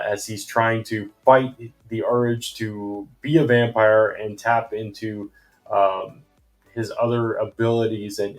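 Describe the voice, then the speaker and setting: A man hosting a casual comic book review vlog, rambling with many ums and uhs, his voice going hoarse and his mouth dry. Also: lowish voice, darkish tone, rough recording. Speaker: comic book channel host.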